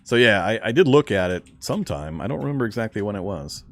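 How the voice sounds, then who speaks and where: Low-voiced man chatting on a game stream. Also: fast, a little hiss or hum. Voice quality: fairly strong speaking voice. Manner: relaxed, chatty, reads viewer questions aloud and answers. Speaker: gaming streamer. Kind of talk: casual live-stream chat while gaming.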